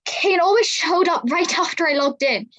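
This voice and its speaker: Woman as a very high-pitched earnest young heroine. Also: normal speed, fairly bright tone. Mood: hesitant. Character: earnest, troubled young heroine of a sci-fi play. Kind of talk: reader's theatre acting.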